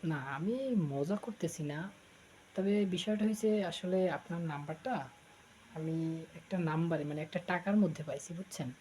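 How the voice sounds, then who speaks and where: A man's voice: a little high, normal speed, a little mellow, a little hiss or hum. A man with a Bangladeshi accent making a teasing, flirtatious casual prank phone call, talking coaxingly. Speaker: prank caller.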